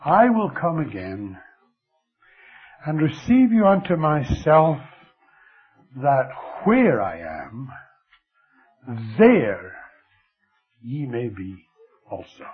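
An older man with a Scottish accent preaching a sermon, slow and expressive, letting his voice fall very quiet at the ends of phrases. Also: mid-range voice. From Scotland. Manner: slow, lively delivery with dramatic drops to near whisper. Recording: thin phone-like radio broadcast.

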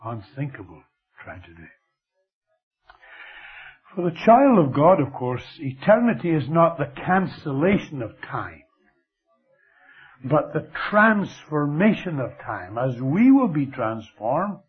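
An older man with a Scottish accent preaching a sermon, slow and expressive, letting his voice fall very quiet at the ends of phrases. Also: fairly high pitch, breathy edge. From Scotland. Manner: slow, lively delivery with dramatic drops to near whisper. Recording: thin phone-like radio broadcast.

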